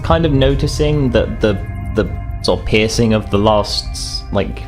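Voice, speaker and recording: Low-pitched man, rambling game player. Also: a little slow, music in the background. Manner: rambling, thinking aloud. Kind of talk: casual chat during a tabletop game.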